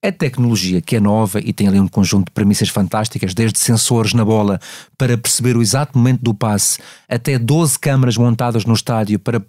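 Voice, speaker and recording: A man's voice: fairly low pitch, a little fast, dark tone, no room sound, studio quality. A man with a European Portuguese accent analysing refereeing decisions conversationally, didactic, posing and answering his own questions.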